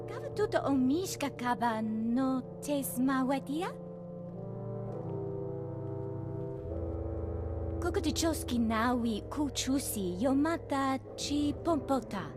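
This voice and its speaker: A woman's voice: fairly high pitch, lively intonation, unhurried, music in the background. An actress voicing a female alien who speaks an invented tongue in a video game. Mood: urgent.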